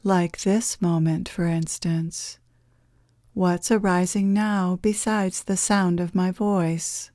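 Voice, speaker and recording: A woman leading a guided meditation in a gentle, soothing, unhurried manner, leaving long pauses between phrases. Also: fairly low pitch, steady tone, no echo, clean studio sound. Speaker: guided meditation leader, channeler.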